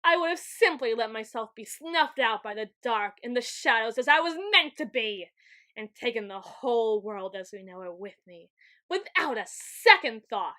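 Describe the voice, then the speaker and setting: Bright, theatrical woman as a pompous dark overlord. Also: on the high side, lively intonation, moderate pace. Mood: wistful, faltering. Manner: pompous, archaic flowery phrasing. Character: supreme dark overlord of shadows, grandiose, melodramatic, weakened. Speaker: ASMR roleplay audio performer. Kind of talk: ASMR roleplay, theatrical scripted monologue.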